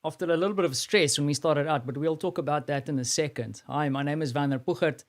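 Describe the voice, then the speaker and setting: A man's voice: a little high, quick pace. A man with a South African accent hosting a live stream, talking casually and rambling with many fillers and restarts.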